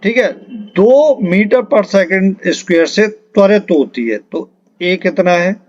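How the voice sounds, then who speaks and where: A man's voice: sits high, lively intonation, slow pace, dull audio. A man teaching an exam-preparation lesson step by step, explaining patiently and repeating key terms as he works through questions.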